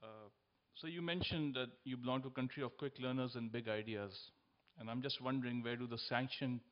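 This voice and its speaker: Young Pakistani man, fairly even pitch. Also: highs cut off. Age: young adult. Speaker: graduate student questioner. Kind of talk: prepared challenge from the audience. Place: auditorium audience. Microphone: stand microphone.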